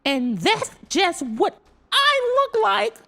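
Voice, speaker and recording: Very squeaky, brash little alien. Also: male voice, wildly swooping pitch, takes it slowly, gravelly, sharp tone, faint music behind, full character voice. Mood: whiny, exasperated. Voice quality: very high, squeaky. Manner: exclamatory, complaining. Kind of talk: children's storybook character voice.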